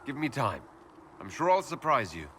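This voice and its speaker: An actor playing a composed, dry-witted hero and leader in a fantasy video game. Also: male voice, medium pitch, big pitch swings, a bit bright. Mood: dryly amused. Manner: measured, pragmatic, dryly joking. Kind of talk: video game dialogue acting. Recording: faint background noise.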